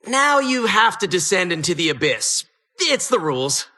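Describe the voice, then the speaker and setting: A gleefully sinister female dungeon host from a video game. The voice is bright and forceful, leaping up and down as it teases. Every line has a theatrical, mock-welcoming polish with a sardonic edge. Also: on the low side, big pitch swings, normal speed. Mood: playful, taunting. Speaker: game announcer.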